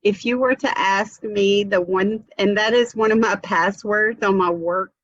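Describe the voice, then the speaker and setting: Slow earnest woman, guest on a chat, dull muffled sound. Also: medium pitch, fairly even intonation. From Memphis, Tennessee. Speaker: interview guest. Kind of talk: casual interview chat.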